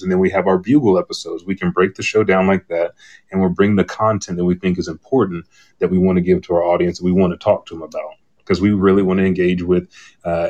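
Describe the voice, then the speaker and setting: Deep-voiced male podcast host, rambling and candid. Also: darkish tone, dull audio. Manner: rambling, candid, retells conversations in quoted dialogue. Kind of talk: casual chat on a live podcast panel.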